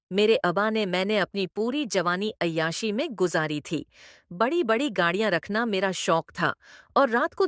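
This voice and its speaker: A woman's voice: mid-range voice, no room sound, studio quality. A woman reading a scripted first-person story as a narrator.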